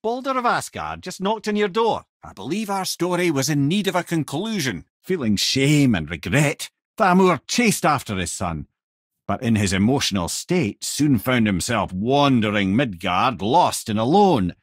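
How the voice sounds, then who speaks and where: Game casting: clever old Scottish advisor, husky voice, very expressive pitch, chatty and wry. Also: male voice, normal speed, no echo, clean studio sound. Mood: solemn storytelling. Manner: wry, chatty, wordy storytelling. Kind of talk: video game character dialogue.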